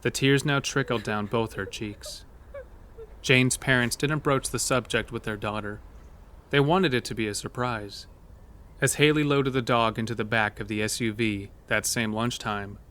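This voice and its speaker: A man's voice: medium pitch, moderate pace, a bit bright. Man narrating a scripted horror story.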